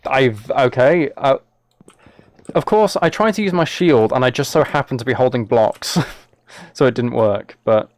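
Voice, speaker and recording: A man's voice: medium pitch, a little slow, no echo. A British man chatting casually on a game livestream, talking to viewers in a mock-exasperated, self-deprecating way. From United Kingdom. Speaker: live streamer.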